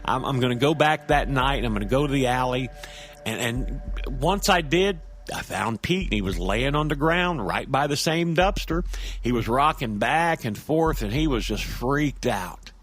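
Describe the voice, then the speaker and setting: A man playing a hesitant, earnest everyman who tells his creepy story in a halting, folksy, conversational audio drama monologue. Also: medium pitch, animated, fairly bright tone, natural voice.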